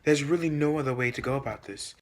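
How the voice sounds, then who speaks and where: A young man playing an earnest, reasonable presidential candidate, speaking in a measured, formal, scripted way in a student debate video. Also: medium pitch, lightly acted.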